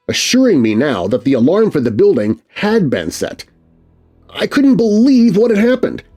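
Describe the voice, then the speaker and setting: Guy reading a spooky kids story, really lively up and down, goes quiet for the tense bits, faint music behind. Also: medium pitch, husky. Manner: expressive reading, hushed for tense moments. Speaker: narrator. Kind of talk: scripted storytelling narration.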